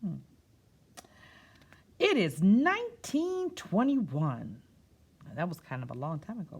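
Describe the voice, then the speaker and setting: Woman storyteller, lowish creaky voice, very animated read-aloud, drawn-out key words, soft explanatory asides. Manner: expressive, stretches key words and pauses to explain. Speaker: storyteller reading a picture book to children.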